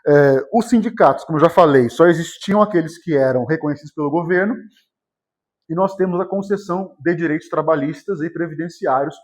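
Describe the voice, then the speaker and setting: A man's voice: a little high, fairly quick. A man with a Brazilian accent from São Paulo state, giving an informal exam-prep history lecture in a colloquial way, often checking in with short tag questions. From São José dos Campos, Vale do Paraíba, Brazil. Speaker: history teacher.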